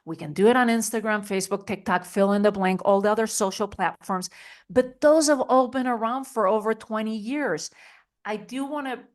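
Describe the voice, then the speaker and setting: A woman's voice: medium pitch, moderate pace. A middle-aged woman from Spain, a podcast guest talking in a chatty way with many "like" and "you know" fillers. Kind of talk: interview conversation.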